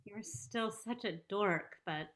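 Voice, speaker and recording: Woman acting playful, mid-pitched sitcom love interest. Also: takes it slowly, a bit bright. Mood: teasing. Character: teasing, affectionate sitcom dream girl on a date. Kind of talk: sitcom table read on video call. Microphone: video call.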